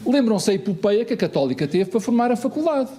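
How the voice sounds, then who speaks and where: A man speaks to a municipal assembly in a high, lively voice. He swings his pitch widely, piling up rhetorical questions and repeated phrases with emphasis. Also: fast. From Lisbon, Portugal. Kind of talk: political speech in an assembly.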